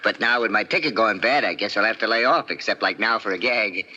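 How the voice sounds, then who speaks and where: Sharp, bright-voiced small-time pickpocket. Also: male voice, on the low side, a little fast. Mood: sly, offhand. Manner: slangy, easygoing, offhand. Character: small, slight, casual pickpocket, cheerfully sly. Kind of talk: 1940s radio drama acting. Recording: dull, lightly noisy old radio recording.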